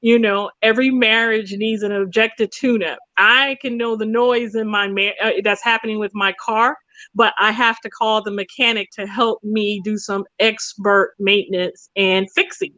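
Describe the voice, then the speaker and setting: A woman's voice: mid-range voice, steady tone, normal speed, bright, forceful tone, no room sound, highs cut off. A woman talking conversationally and explaining things emphatically through anecdotes and analogies.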